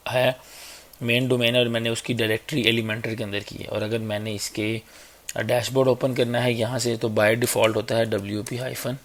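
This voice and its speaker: A man with a sore, hoarse throat giving a casual, chatty screen-recorded web design tutorial on a basic mic. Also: lowish voice. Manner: casual, chatty, fills gaps with "okay" and "theek hai". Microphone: basic mic, a better one on order.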